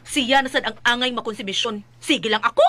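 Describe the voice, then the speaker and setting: A woman acting as a nagging wife at the end of her patience, with a Filipino Cebuano accent. She scolds and complains in a high voice, in the style of a comic radio play. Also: steady tone, brisk, loud music behind the voice, natural voice. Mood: resigned complaining. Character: exasperated, nagging, worried wife. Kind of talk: comedic radio drama acting.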